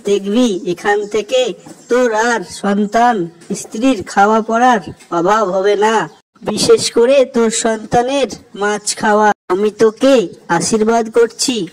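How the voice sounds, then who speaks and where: A woman voicing a kindly, motherly water fairy in a cartoon, with a benevolent, unhurried manner. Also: mid-range voice, slight background noise, close to an everyday voice, low-quality recording.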